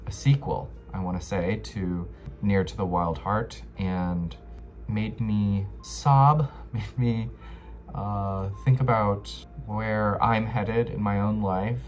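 Young American male book vlogger, unhurried and mid-pitched, casual and slangy, faint music underneath. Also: fairly even intonation. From Los Angeles, United States. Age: young adult. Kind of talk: casual chat to camera. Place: small bedroom, indoors.

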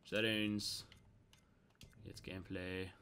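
A young man streaming a game, excitable and cursing, jumping between loud outbursts and quiet mutters. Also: deep voice, takes it slowly, background noise. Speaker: gaming streamer. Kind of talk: let's play commentary.

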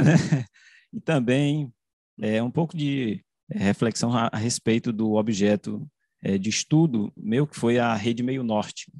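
A middle-aged man giving an academic online presentation, speaking haltingly with frequent self-corrections and repeated words. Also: on the low side, darkish tone, dry recording, clean studio sound. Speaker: researcher presenting his study. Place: indoors, room with bookshelves, video call. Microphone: desk microphone close to the mouth.

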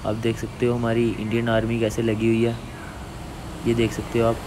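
Flat-voiced young man vlogging outdoors. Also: medium pitch, moderate pace. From Jammu, India. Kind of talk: casual travel vlog commentary. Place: outdoors, on motorbike and hillside trail. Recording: noisy outdoor motorbike and trail recording.

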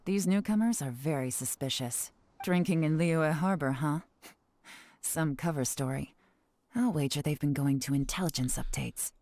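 An actress playing a shrewd, composed female spy investigator in a game, speaking in a calm, measured way. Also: sits low, no room sound, studio quality. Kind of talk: game voice acting.

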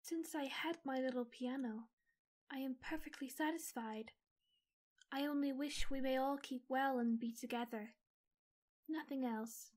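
A woman playing a shy, soft-spoken young girl. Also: high voice, fairly even intonation, measured pace. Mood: content. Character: shy, gentle homebody girl. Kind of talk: theatrical audio drama acting.

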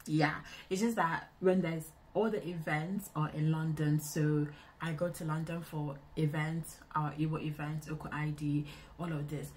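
A woman in her twenties with a Nigerian accent, chatting casually to camera in an indoor vlog, expressive and repeating phrases for emphasis. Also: low voice, unhurried, slight background noise, low-quality recording. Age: 20s. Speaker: vlogger. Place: small indoor room.

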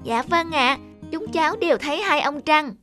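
A woman voicing an excitable young child monkey in a children's cartoon, with a childlike, exclaiming delivery. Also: high voice, moderate pace, soft background music. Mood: polite, eager. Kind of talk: animated children's fairy tale acting.

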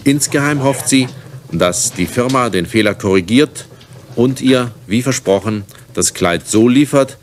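A man's voice: mid-range voice, animated, unhurried, slight background noise. A middle-aged man presenting and narrating a scripted consumer-warning programme in a formal, dryly ironic manner. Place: television studio.

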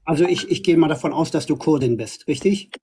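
A man's voice: fairly high pitch, brisk, warm tone, a little hiss or hum. A middle-aged man from Iran arguing assertively in a live debate, with frequent fillers, filmed by a phone camera up close. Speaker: livestream host. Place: indoors before a green screen.

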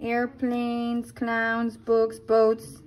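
A woman with a very high voice reads a picture book aloud for children. She tells it expressively and drops in short asides. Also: very slow. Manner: animated storytelling with brief asides. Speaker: storybook reader.

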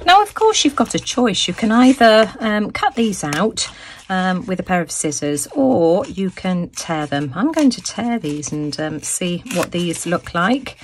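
A woman hosting a crafting video, explaining each step with plenty of ums, her voice a little croaky. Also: fairly low pitch, sing-song, measured pace, a little hiss or hum. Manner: frequent ums, friendly explaining. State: slightly croaky voice. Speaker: crafting channel host. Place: craft table, indoors.

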